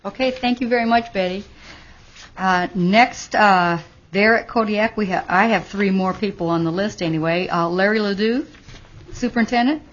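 A woman chairing a legislative committee hearing, informal and relaxed, calling on speakers. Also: medium pitch, moderate pace. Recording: lo-fi teleconference audio with faint hiss.

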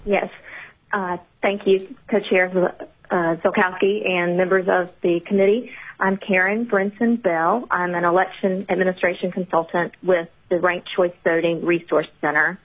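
A woman with a Southern US accent, an expert witness reading prepared remarks to a committee in a measured, formal way, heard over a telephone. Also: fairly low pitch, fairly even intonation. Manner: measured, formal delivery with occasional fillers. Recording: narrow phone-line audio.

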